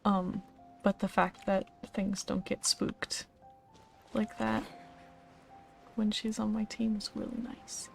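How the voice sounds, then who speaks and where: A woman's voice: mid-range voice, little pitch movement, slow pace, creaky, long pauses. A tired woman streaming a video game, muttering and whispering casual asides to herself. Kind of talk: casual gameplay commentary. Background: game music.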